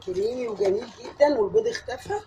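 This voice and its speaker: Fast-talking Egyptian woman with a tired voice. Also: fairly high pitch, sing-song, lo-fi sound, heavy background noise. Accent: Egyptian Arabic. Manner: chatty, addresses viewer directly, step-by-step. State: tired voice from a cold. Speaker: home cook. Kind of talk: cooking tutorial. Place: home kitchen.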